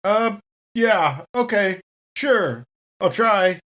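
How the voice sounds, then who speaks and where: A man's voice: high voice, lively intonation, very slow, fairly bright tone. A man playing a tabletop roleplaying game, speaking casually about what his character does.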